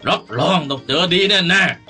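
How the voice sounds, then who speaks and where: A sharp, imperious master of the house. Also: male voice, fairly high pitch, animated, a little fast. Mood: grudging, threatening. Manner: gruff, imperious, menacing. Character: stern, possessive noble father and slave master, quick to threaten. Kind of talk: radio drama acting. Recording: studio radio drama with music.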